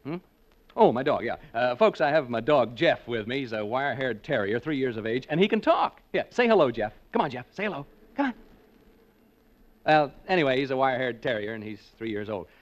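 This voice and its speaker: A man's voice: medium pitch, sing-song, a little fast, lightly acted. A man playing a wisecracking radio reporter. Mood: jokey, chatty. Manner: joking, breezy, chatty with listeners. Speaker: reporter broadcasting a ghost hunt. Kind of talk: 1940s radio acting. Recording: dull old 1940s radio recording.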